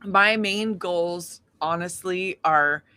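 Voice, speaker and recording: A woman in her thirties talking casually as an interview guest, animated and gushing, peppering her talk with "like" and "you know". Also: fairly low pitch, slow, smooth, no room sound. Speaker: interview guest, independent singer-songwriter.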